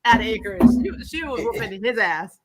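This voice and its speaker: A woman hosting a casual movie review chat, telling it in an animated, sarcastic way with plenty of swearing. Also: fairly high pitch, brisk, background noise. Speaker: podcast host.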